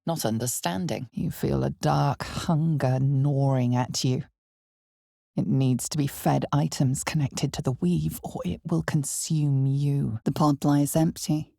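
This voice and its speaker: A woman playing the ominous, knowing narrator of a dark fantasy game, measured and deliberate, with a husky, breathy voice. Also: sits low, no echo, clean studio sound, full character voice.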